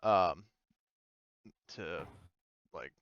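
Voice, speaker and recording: Low, smooth-voiced man, thinking aloud. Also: extremely slow, no echo. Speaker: game master. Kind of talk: casual tabletop game narration. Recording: slightly dull, dry close recording.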